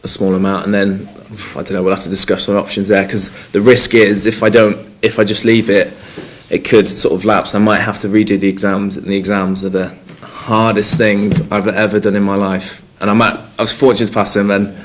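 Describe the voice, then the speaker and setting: Man in his 20s, from North Wales, slightly low voice, hesitant, filler-heavy, press conference answers. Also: little pitch movement, brisk, hardly any pauses, slight background noise. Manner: hesitant, many fillers and restarts. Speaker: rugby player.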